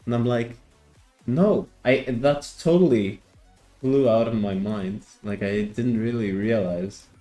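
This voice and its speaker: Male game streamer from Greece, unhurried and halting, restarts his words a lot, soft music behind. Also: medium pitch. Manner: hesitant, repeats words, answers chat. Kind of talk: casual live-stream chat.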